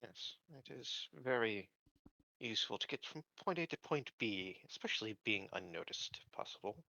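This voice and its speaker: Formal male security recruit, played by a man at a game table: bright, close and dry, deferential, measured delivery. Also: fairly low pitch, animated, gravelly, dry recording, highs cut off, exaggerated voice. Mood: polite, businesslike. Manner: measured, formal phrasing with hesitations. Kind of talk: improvised in-character roleplay.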